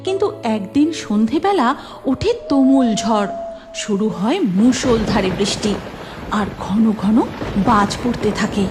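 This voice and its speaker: A woman narrating a cartoon story in a steady storybook telling manner. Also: a little high, slow pace. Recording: music bed behind the voice.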